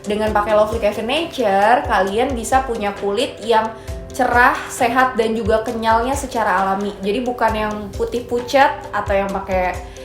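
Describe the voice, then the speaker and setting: A woman's voice: on the high side, moderate pace. A young woman in her twenties, a brand founder chatting casually and upbeat as she answers viewer questions. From Indonesia. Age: 20s. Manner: chatty, upbeat, mixes in English phrases. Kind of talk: casual chat. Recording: echoey room with soft music.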